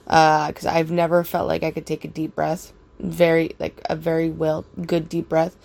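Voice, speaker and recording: A young woman with a raspy voice, casually rambling through a personal story with lots of fillers and swearing. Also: low-pitched, monotone, normal speed, darkish tone.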